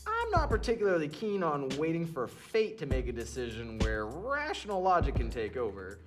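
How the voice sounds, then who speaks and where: A man's voice: fairly high pitch, wildly swooping pitch, moderate pace, over music. A man playing an impatient, coolly arrogant genius doctor who speaks with dry, pompous precision in an audio drama. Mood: condescending, measured.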